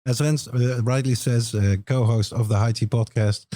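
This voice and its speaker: Man, Dutch accent, middle-aged, warm dark voice, dry close mic, hesitant casual chat. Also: medium pitch, steady tone, no room sound. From Netherlands. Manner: unhurried, hesitant with many "uh" fillers. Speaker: podcast co-host.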